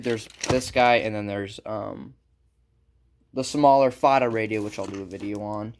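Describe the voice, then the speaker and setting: A man giving a casual, unscripted how-to as a hobbyist repairer, halting and informal as he thinks aloud. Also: mid-range voice, slight background noise. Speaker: hobbyist electronics repair presenter.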